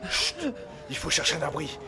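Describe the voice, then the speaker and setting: A woman's voice: low-pitched, wildly swooping pitch, normal speed, exaggerated voice. A woman playing an alarmed, commanding ship's captain who gives urgent orders in a dramatic audio fiction. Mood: tense, hushed. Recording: music and crowd clamour behind.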